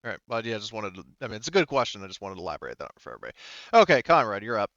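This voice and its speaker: Rambling man, smooth dry lo-fi voice. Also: on the low side, big pitch swings, very fast, a bit bright, no room sound. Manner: rambling, talks in broken-off phrases with frequent 'like' and 'you know'. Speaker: game master. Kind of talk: explaining tabletop game rules and narrating combat.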